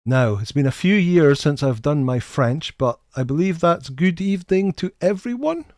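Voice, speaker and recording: A man's voice: a little high, expressive, normal speed, a little mellow, no room sound, studio quality. A middle-aged man hosting a casual live game stream, greeting viewers in a chatty, friendly way and often repeating the first words of a sentence. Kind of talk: casual live stream chat, reading chat names.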